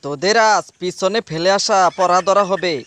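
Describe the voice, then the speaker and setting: A man's voice: sits high, moderate pace, a bit bright. A man voicing a stern, easily flustered schoolteacher who scolds theatrically in a comedy cartoon.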